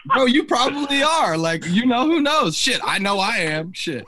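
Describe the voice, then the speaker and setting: A man in his forties with a high, swooping voice over a very noisy, clicky feed. He banters casually as a podcast co-host, slangy and teasing. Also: very animated, normal speed. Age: 40s. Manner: joking, teasing.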